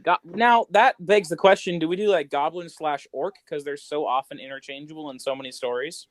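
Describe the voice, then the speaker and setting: A man's voice: fairly high pitch, fairly quick, no room sound. A man co-hosting a podcast in casual, joking chat, with a playful, slangy way of talking. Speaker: podcast co-host.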